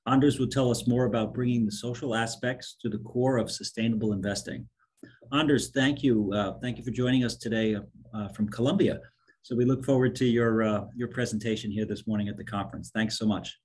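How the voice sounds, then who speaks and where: A man's voice: medium pitch, fairly even intonation, a little fast, a little mellow, husky. A man hosting a conference, introducing the next speaker politely with a few fillers. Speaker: conference host.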